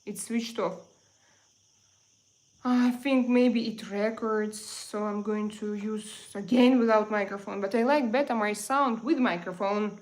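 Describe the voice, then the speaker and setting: A woman from Russia with a Russian accent, chatting casually as a livestream host and answering viewers in halting English with repeated phrases. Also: medium pitch, steady tone, a little mellow, poor audio.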